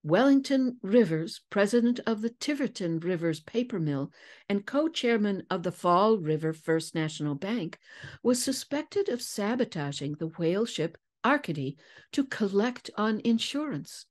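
A woman's voice: medium pitch, lively intonation, measured pace, a little mellow, voice pushed up. A woman voicing a confident, shrewd woman detective in a declamatory storybook reading, self-assured in her explanations. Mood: assured, explanatory.

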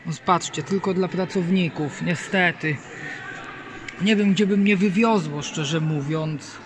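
High-voiced young man, noisy phone recording. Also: measured pace. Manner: addresses viewers, enthusiastic about lifts. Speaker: lift enthusiast vlogger. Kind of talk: casual handheld video commentary. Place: indoor lifts and lift lobbies. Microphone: handheld phone. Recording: noisy handheld phone in lift areas.

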